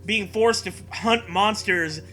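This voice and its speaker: A man's voice: high-pitched, measured pace, bright, forceful tone, soft background music. A man co-hosting a comedic read-aloud of fan fiction, riffing casually with mocking sarcasm and swearing. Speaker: co-host reading fan fiction.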